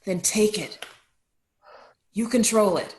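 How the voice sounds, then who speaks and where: A woman's voice: medium pitch, darkish tone, natural voice. A woman acting a tough doctor who pushes and challenges like a coach, in a table read. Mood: urgent, challenging. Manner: challenging, insistent, measured.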